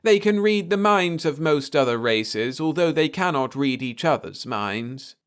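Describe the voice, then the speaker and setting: Man acting as alien starship captain, reptilian, higher-pitched, soft and slurred, formal, gentle, sci-fi storybook. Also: lively intonation, measured pace, clean tone. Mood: grave.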